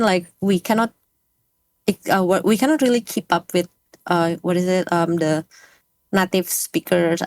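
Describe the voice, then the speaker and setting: A woman's voice: on the low side, a little mellow, no room sound. Hesitant Indonesian-accented woman. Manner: hesitant, many fillers, searching for words. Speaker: guest, game industry speaker. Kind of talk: casual interview talk.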